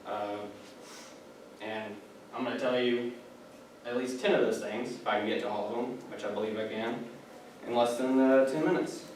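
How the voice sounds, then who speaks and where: A man's voice: mid-range voice, fairly even intonation, normal speed, pauses often, darkish tone. Young man, casual chatty presenter, echoey classroom, background noise. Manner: casual, chatty, many fillers and asides. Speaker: student giving a class speech. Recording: echoey room, distant mic, background noise.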